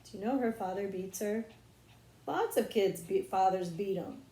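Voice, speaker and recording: A woman voices an earnest, knowing schoolgirl in a storybook reading. The delivery is precise and confiding, at a middle pitch, with audible room echo. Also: normal speed, mellow, natural voice. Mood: grave, knowing. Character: earnest, knowing young schoolgirl who relishes secrets. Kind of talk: audiobook character voice.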